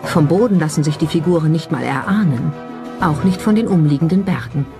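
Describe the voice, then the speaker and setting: A documentary narrator with a middle-register voice delivers scripted narration. Music plays clearly underneath throughout. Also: mid-range voice, animated, brisk. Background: background music.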